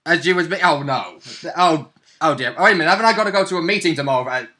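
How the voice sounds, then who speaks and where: A man's voice: a little high, fast, bright, forceful tone. A man co-hosting as a player, bantering casually about a tabletop game. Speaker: co-host and player.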